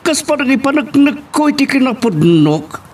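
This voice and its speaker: A man's voice: extremely high pitch, very animated, moderate pace, darkish tone, recorded from a distance, slight background noise. An Ilocano man acting an older widowed employer in a romance drama, lovestruck and pleading in a melodramatic way. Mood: earnest.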